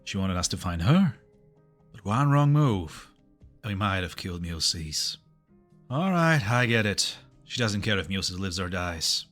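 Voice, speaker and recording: A man's voice: deep voice, sing-song, unhurried, a bit bright, faint music behind, full character voice. A man playing a questioning, exasperated comrade, emphatic in delivery, in a fan-dubbed game drama. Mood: incredulous, frustrated. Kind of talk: scripted fan-dub game drama acting.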